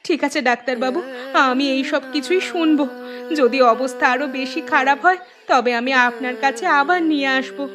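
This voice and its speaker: A woman's voice: high-pitched, a little fast, natural voice. A woman voicing a devoted, outspoken young village wife in a cartoon. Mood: anxious, pleading. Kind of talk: Bengali cartoon dialogue. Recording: music behind the voice.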